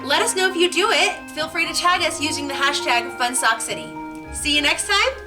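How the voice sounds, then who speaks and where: Female children's storyteller and host, high-voiced with big swings in pitch, over music. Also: expressive, a little fast. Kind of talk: animated storybook narration for children.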